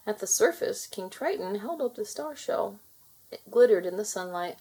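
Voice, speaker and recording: A woman narrating a children's story aloud in an expressive read-aloud style. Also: mid-range voice, normal speed, breathy edge, low-quality recording. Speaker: storybook reader.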